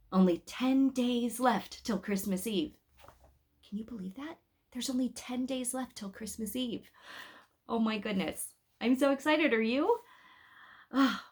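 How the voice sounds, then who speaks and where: Woman reading a storybook aloud. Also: on the high side. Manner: expressive, addresses listeners directly with questions. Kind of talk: storybook read-aloud with asides to young listeners.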